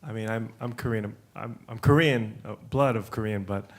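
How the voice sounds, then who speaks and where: Low-voiced man on a conference panel. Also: normal speed. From United States. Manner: relaxed, with many fillers and restarts. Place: conference room.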